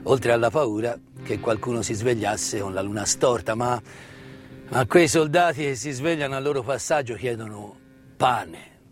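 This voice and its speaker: A man tells a story of wartime history as a stage actor, with dramatic emphasis and deliberate pauses. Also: mid-range voice. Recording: live music mixed under the voice. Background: live musical accompaniment.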